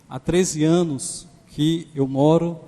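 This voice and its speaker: A man in his thirties from Pernambuco, with a Pernambuco accent tinged by Maranhão, preaching as a guest with warm personal stories. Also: on the high side, steady tone, slow pace. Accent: Pernambuco Portuguese mixed with Maranhão. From Pernambuco, Brazil. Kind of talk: sermon. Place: large church sanctuary. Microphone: handheld microphone. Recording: church hall reverb.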